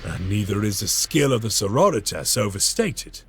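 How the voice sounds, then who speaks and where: A man's voice: on the low side, big pitch swings, moderate pace, music in the background. A man voicing a dutiful, grim space marine lieutenant who speaks in a commanding, formal way, in a game cutscene.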